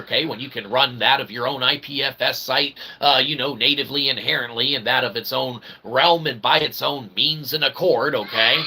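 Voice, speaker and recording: A man with a medium-pitched voice rambles to his listeners in a solo monologue. His phrasing is wordy and mock-formal, punctuated by repeated emphatic tags and theatrical stresses. There is clear noise behind the voice. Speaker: independent channel host and developer. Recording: noticeable background noise.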